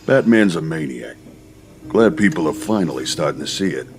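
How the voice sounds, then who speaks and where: Man playing a gruff, very deep-voiced detective. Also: expressive, normal speed, over music. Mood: indignant. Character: gruff, blunt, resentful police detective. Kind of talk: fan dub voice acting for a comic.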